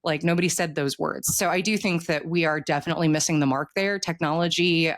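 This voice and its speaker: A woman's voice: sits low, fairly quick. A woman in her thirties talking casually in an interview, explaining earnestly and often posing rhetorical questions. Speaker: author being interviewed.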